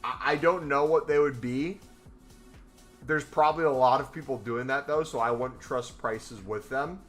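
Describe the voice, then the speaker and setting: Bright-voiced young man streaming casually. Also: mid-range voice, moderate pace, faint music behind. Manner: laid-back, talks to chat. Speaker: trading streamer. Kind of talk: casual live-stream commentary. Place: small room indoors, gaming chair.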